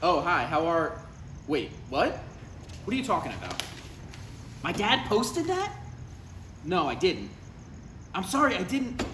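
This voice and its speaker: A man's voice: on the high side, wildly swooping pitch, unhurried, long pauses, pushed and bright, heavily acted. A man in his thirties playing an anxious, needy, defensive adult son in a live comedy table read, awkward and sarcastic. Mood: panicked, defensive. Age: 30s. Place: live reading in a room. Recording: roomy live recording with clear background noise.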